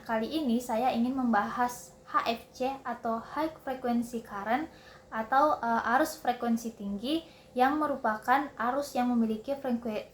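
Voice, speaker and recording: A young woman giving a prepared instructional presentation as a student, haltingly, often repeating and correcting words. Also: a little high. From Indonesia. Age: young adult. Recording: roomy, echoing indoor sound.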